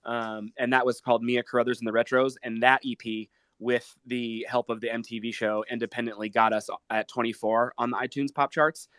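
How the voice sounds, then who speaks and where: A man with an American accent chatting casually as a musician guest, in an earnest, halting, appreciative way. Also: mid-range voice, little pitch movement, a bit bright, clean tone, no echo. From Ohio, United States. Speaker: guest musician, guitarist and pop artist. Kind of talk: casual live interview chat.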